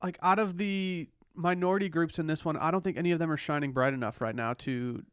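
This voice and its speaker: A man's voice: on the high side, a little fast, fairly bright tone, clear, resonant tone, no room sound, clean studio sound. A young man co-hosting a podcast, giving casual, opinionated banter and swearing freely. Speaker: podcast co-host. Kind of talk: casual banter, reality TV recap.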